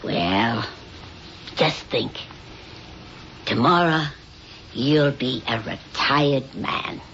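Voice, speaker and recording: A low-voiced middle-aged mother figure in an old radio drama. She is earnest and caring, scolding and pleading in turn, on a dull recording with faint noise. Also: expressive, very slow, fairly bright tone, exaggerated voice. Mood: gentle, consoling.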